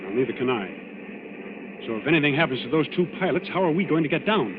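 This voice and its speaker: An actor playing a resolute, level-headed young naval commander in 1940s radio drama style. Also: male voice, a little high, animated, quick pace, noisy background, close to an everyday voice. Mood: grave, firm. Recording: tinny old radio broadcast, music behind.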